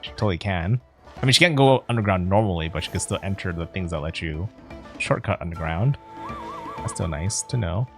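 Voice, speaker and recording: A man's voice: low-pitched, animated, brisk, gravelly. A man streaming a video game, chatting casually and thinking aloud, with game music in the background. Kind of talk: casual let's play commentary.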